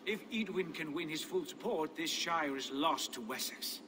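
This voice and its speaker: An actor playing a proud, confident Viking chieftain in a dramatic game cutscene, speaking in a grand, declamatory way. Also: male voice, on the high side, animated, moderate pace, sharp tone. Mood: assured. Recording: reverberant, with clear background noise.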